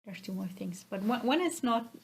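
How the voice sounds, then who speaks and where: A woman from the Netherlands, a native Dutch speaker with a Dutch accent, chatting casually to her podcast viewers in a halting, self-effacing way. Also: fairly high pitch, animated, slight background noise. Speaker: podcast host. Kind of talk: casual vlog chat to viewers.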